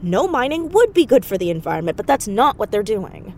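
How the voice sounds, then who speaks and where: A woman's voice: medium pitch, sing-song, a little fast, darkish tone, a little hiss or hum, close to an everyday voice. A woman acting a teenage girl sleuth in an audio drama. Her voice is mid-range, earnest and stubborn, always probing and ready to argue. A light ambience sits behind it. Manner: earnest, probing, casually argumentative.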